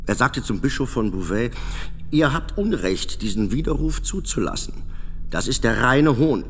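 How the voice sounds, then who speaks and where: An actor playing a formal trial witness giving deliberate testimony in a radio drama, in a reverberant space. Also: male voice, medium pitch, animated, lightly acted. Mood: indignant. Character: solemn male trial witness recounting a quarrel. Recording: long hall reverb, faint noise.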